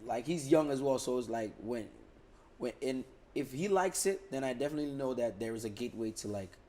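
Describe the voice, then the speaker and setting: A man's voice: mid-range voice, a little fast. A young man from the Netherlands, a music artist chatting casually in a podcast interview, often repeating and restarting his words. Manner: relaxed, repeats words and restarts, many fillers.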